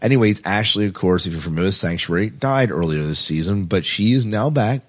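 Guy hosting a podcast, really low husky voice, chatty and kinda rambly. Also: lively intonation, a little fast. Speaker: podcast host. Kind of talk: casual podcast talk, reading news items.

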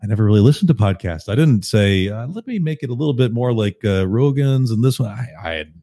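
A man with a deep, calm voice chatting casually on a podcast, relaxed and self-deprecating. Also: fast. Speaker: podcast host and guest.

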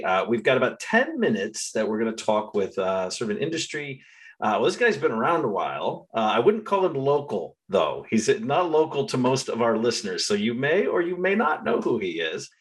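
A man hosting a podcast, chatty and lively, with frequent filler sounds. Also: mid-range voice, brisk. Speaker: podcast host. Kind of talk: casual interview chat.

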